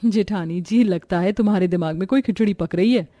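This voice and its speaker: A woman's voice: mid-range voice, fairly quick, mellow, close to an everyday voice. A woman voicing a spirited new young bride in a cartoon, direct and questioning.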